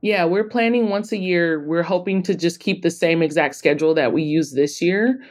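A woman's voice: on the low side, moderate pace. A woman chatting casually as a podcast guest, explaining things in a rambling, emphatic way. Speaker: podcast guest, author and publisher. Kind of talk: casual interview chat.

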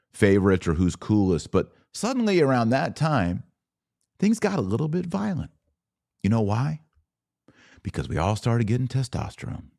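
A middle-aged man with an American accent giving an informal podcast monologue, telling personal stories emphatically with dramatic pauses on key words. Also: fairly low pitch, a little mellow. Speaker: podcast host.

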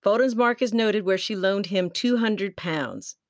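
A woman's voice: mid-range voice, a little slow, no echo, clean studio sound, voice pushed up, natural voice. A woman voicing a fussy, businesslike male solicitor in a spoken storybook reading. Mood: matter-of-fact.

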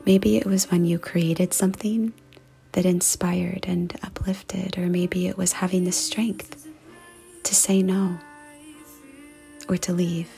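A woman leading a guided meditation and prayer in a soft, hushed, breathy and creaky voice, speaking deliberately with pauses. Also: lowish voice, level tone. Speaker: podcast host and meditation guide. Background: music.

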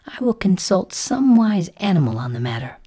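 Woman voicing a high, fretful little caterpillar. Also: big pitch swings, normal speed, warm tone. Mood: timid, earnest. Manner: fretful, exclamatory, earnest. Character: mild, anxious green caterpillar, female, kind but indignant. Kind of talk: storybook character voice.

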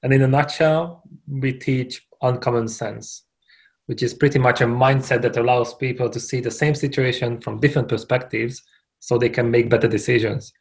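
A man from Hungary, a guest on a podcast, answering questions in a relaxed, conversational way and laughing easily. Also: medium pitch, moderate pace. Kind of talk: casual interview answers.

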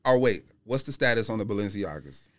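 A man's voice: lowish voice, lively intonation, a little fast, fairly bright tone, no room sound. A man chatting informally as a podcast guest, explaining his opinions in a conversational way. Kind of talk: casual chat about sneakers. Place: podcast studio.

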